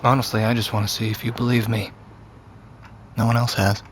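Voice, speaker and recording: Actor doing a sardonic young guy, guarded n terse, deadpan drama delivery, faint bg noise. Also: medium pitch, fairly quick, close to an everyday voice. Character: guarded, sardonic young man, wounded and evasive. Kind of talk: naturalistic audio drama acting.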